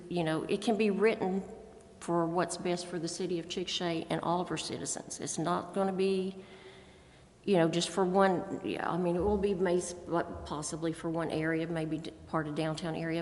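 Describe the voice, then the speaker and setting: A woman's voice: lowish voice, a little hiss or hum. An American woman making plain-spoken, folksy remarks as a council member at a public meeting. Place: council chamber. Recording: reverberant meeting room.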